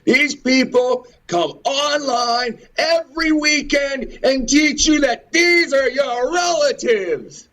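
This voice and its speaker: A man with a high-pitched voice gives an impassioned, informal commentary. He takes his time over the words, leaning on repetition, pointed rhetorical questions and curses as he rants. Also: takes it slowly, few pauses, sharp tone. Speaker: host of a religious and historical commentary show.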